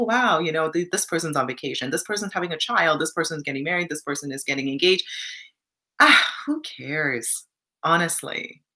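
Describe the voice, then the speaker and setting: A woman's voice: deep voice, sharp tone. A young Canadian woman in casual live stream chat, reflective and encouraging, reading viewer comments aloud.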